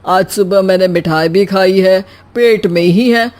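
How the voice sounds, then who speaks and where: A woman's voice: medium pitch, a little slow, darkish tone, close to an everyday voice. A woman voicing a cheeky, doting elderly father-in-law in a comic story, with a playful, familiar manner. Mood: playfully reasoning. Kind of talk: acted Hindi comic story dialogue.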